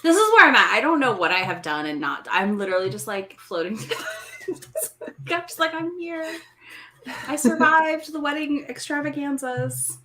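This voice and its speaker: Woman, bright forceful voice, very lively intonation, casual group chat, livestream guest. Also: high-pitched, moderate pace, a little hiss or hum.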